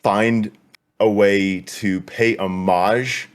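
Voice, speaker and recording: Deep-voiced young man chatting easily. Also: fairly even intonation, drawn-out words, smooth. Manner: easygoing, chatty with light laughs. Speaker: guest. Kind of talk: casual chat.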